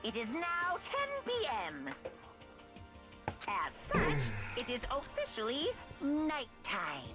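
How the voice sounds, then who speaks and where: High, swooping cartoon bear announcer. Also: female voice, wildly swooping pitch, takes it slowly, over loud music, heavily acted. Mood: smug singsong. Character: smug cartoon bear mascot making school announcements. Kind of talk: singsong public announcement, game fan voice-over.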